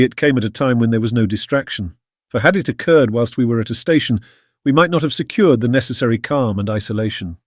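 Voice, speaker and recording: Actor doing earnest british victorian gent reading his diary, medium pitch, measured n solemn, very dry audio. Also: no room sound, lightly acted. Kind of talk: scripted dramatic audiobook narration.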